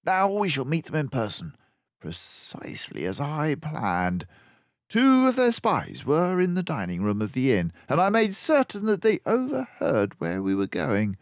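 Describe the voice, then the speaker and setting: A man's voice: on the high side, wildly swooping pitch, unhurried, no echo, pitched above the performer's own voice, exaggerated voice. A man voicing a clever, confident mouse detective from a storybook, with an emphatic, precise, lecturing manner. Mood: confident, urgent. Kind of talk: storybook character voice.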